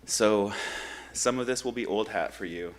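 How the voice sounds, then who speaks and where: A man with a deep, low voice leads a grief workshop in a church meeting room. He talks in a loose, conversational way, pausing often with ums as he sets up the session. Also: a little hiss or hum. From Michigan. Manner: relaxed, conversational, many ums. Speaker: grief group facilitator.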